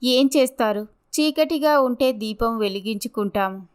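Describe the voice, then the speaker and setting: Woman acting a clear-voiced, dignified young mother. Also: a little high, moderate pace, close to an everyday voice. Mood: despondent. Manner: polite, earnest. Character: sorrowful, grateful, dignified abandoned wife and young mother.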